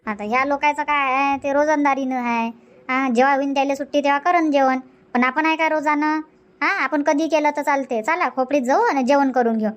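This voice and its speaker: A woman's voice: high-pitched, steady tone, a little fast, lightly acted. A woman voicing a bossy, chatty farmer's wife in a comic cartoon, with a rustic Varhadi Marathi accent. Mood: insistent. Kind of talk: comic cartoon dialogue dubbing.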